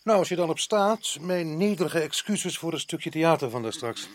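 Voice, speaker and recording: A man's voice: fairly high pitch, expressive, normal speed, close to an everyday voice. A man playing a sardonic colonial official. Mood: irritated, defensive. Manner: mocking, argumentative, chuckling. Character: sardonic, outspoken atheist colonial island official, a husband. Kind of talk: radio play acting.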